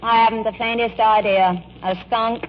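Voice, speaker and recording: An actress playing a weary, affectionate society bride in a 1940s radio comedy, languid and wry. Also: female voice, fairly high pitch, fairly even intonation, moderate pace, lightly acted. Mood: weary, fond. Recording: muffled, hissy old radio broadcast.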